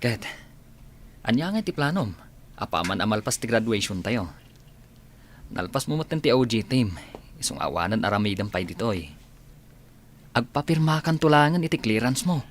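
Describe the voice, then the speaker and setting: A man's voice: mid-range voice, very animated, moderate pace, long pauses, slight background noise, lightly acted. A man acting in a radio drama as a practical, supportive young friend, with a Filipino Ilocano accent. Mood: friendly.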